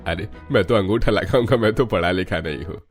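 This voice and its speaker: A man voices an old village patriarch in a cartoon family drama. His voice sits low and he comes across simple and trusting. Light music runs beneath him. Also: a little fast, close to an everyday voice. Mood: proud, trusting. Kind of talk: Hindi cartoon story dub.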